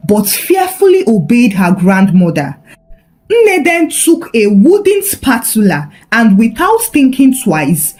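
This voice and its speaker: High-voiced woman telling a dramatic folktale. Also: a little mellow. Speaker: narrator. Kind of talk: scripted folktale storytelling narration.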